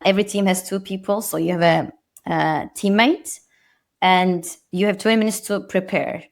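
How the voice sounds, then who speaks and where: A woman's voice: mid-range voice, steady tone, normal speed, gravelly. A woman in her thirties from Turkey with a Turkish accent, a guest on a podcast interview, speaking reflectively and modestly with frequent restarts.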